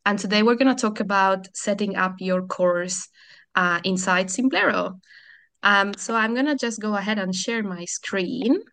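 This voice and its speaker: A Catalan woman from Barcelona on a video call, hosting a software tutorial webinar and explaining things in a friendly way with frequent ums and restarts. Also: mid-range voice, moderate pace. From Catalonia, Spain. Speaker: customer support webinar host.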